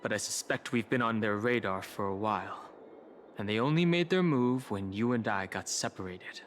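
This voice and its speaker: An actor playing a cautious, loyal young traveling companion in a video game, speaking in a measured, thoughtful way. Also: male voice, lowish voice, slight background noise, close to an everyday voice. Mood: wary, pondering. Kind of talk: video game voice acting, conversational dialogue. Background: background music.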